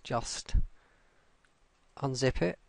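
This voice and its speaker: A man presenting a casual software tutorial, speaking unscripted and hesitantly with pauses mid-sentence. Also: medium pitch, creaky, no room sound. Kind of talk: casual screen-recorded walkthrough.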